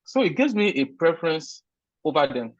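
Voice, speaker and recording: A man's voice: a little high, big pitch swings, moderate pace, no room sound. A man from Nigeria with a Nigerian accent, answering interview questions in an earnest, emphatic way with frequent restarts. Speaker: interview guest, recent immigrant. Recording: dull, lo-fi sound.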